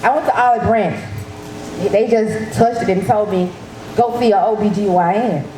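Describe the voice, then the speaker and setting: A woman gives a loud, lively personal testimony to an audience at an event. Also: medium pitch, normal speed. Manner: loud, lively storytelling in casual Southern speech. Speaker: breast cancer survivor speaking at an event. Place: event hall. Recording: echoey hall with noise.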